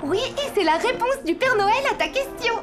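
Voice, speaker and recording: A woman voicing a young, sensible and playful child in a French cartoon dub, explaining things brightly to friends. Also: extremely high pitch, animated, moderate pace. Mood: amused. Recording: music behind the voice.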